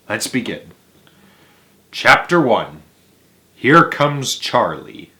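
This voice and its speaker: A man narrating a children's storybook aloud in a theatrical, emphatic way, with dramatic pauses and stretched words. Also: mid-range voice, sharp tone. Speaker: host of a read-aloud story series.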